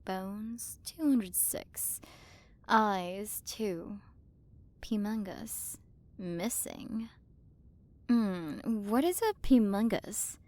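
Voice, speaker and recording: A woman's voice: medium pitch, extremely slow, long pauses, darkish tone. A woman playing a haughty, possessive alien queen and scientist who talks down in a commanding, teasing way, in an ASMR roleplay. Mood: clinical.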